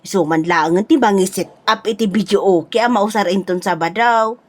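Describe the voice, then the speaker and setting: Audio drama auntie a woman acting: older, hospitable, motherly, Ilocano-accented, gently reassuring delivery. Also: mid-range voice, normal speed, close to an everyday voice. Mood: inviting.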